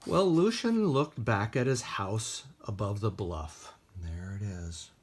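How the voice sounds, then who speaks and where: A man's voice: on the low side, expressive, takes it slowly, slightly rough. A man reading a story aloud for children as a storytime host, expressively. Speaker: library storytime host and reader.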